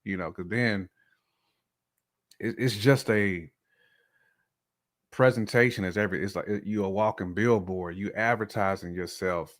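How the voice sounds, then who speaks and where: Deep-voiced man in casual talk. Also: a little slow, long pauses. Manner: colloquial, slangy. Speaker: podcast co-host giving a man's point of view.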